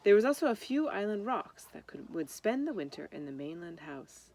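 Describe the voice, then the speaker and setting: A woman's voice: medium pitch, big pitch swings, moderate pace, darkish tone, a little hiss or hum. A woman reading a children's picture book aloud, explaining things simply and speaking directly to young listeners. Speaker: storybook reader.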